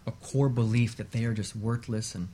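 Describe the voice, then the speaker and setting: A man speaking as an expert guest on a radio talk show, explaining in a measured, emphatic way and repeating points for stress. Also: on the low side, steady tone, warm tone, a little hiss or hum.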